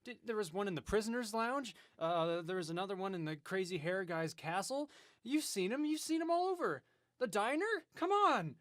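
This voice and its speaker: A bewildered radio DJ with amnesia, acted by a man, earnest and full of questions. His voice sits somewhat high for a man, and the recording is dry and close. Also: very animated, normal speed, fairly bright tone, dry recording. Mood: excited. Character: confused amnesiac male radio DJ. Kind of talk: comedic audio drama acting.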